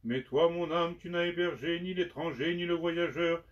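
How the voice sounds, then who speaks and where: A man giving a slow, solemn liturgical recitation of penitential prayers in measured, prayerful phrases. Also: fairly high pitch, fairly even intonation. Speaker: liturgical reader. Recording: dull sound from far off the mic.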